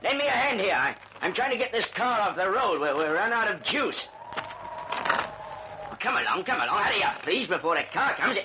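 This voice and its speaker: A muffled, hissy old radio drama recording carries an agitated, imperious older businessman. His fairly high voice swoops with dread and snaps out orders, as a guilt-haunted man would. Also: sing-song, moderate pace. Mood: impatient. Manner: agitated, commanding, urgent.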